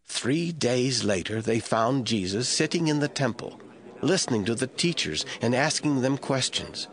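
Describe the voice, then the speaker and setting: A man giving measured, scripted Bible narration in a storytelling manner. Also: medium pitch, animated, fairly bright tone.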